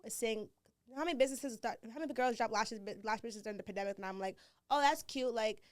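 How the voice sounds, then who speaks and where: A woman's voice: fairly high pitch, fast, no echo, studio quality. A young woman with an American accent chatting casually and candidly as a podcast guest, emphatic and slangy. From born in Nigeria, grew up in Rhode Island, US. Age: young adult. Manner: emphatic, slangy, animated storytelling. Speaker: podcast guest, influencer and business owner.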